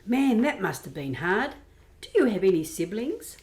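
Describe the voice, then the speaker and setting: A woman's voice: medium pitch, very animated, normal speed, a little mellow, lightly acted. A woman playing an inquisitive, sympathetic female bar owner in a radio play. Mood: sympathetic, curious. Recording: roomy, echoey amateur recording.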